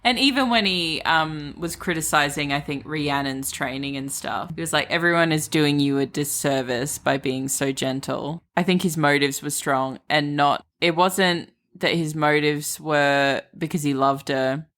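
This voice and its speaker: Low-voiced woman chatting about books. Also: unhurried, few pauses, fairly bright tone. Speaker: book podcast co-host. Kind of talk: casual book discussion chat.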